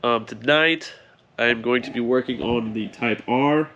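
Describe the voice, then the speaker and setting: A man's voice: medium pitch, measured pace, dull audio. Guy talking thru a diy car job, stuffy nasal voice from a cold, lots of ums, bit of hiss behind him. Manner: relaxed, filler-heavy explaining while working on a car. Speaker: car DIY channel host. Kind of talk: casual how-to walkthrough. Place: garage beside the car.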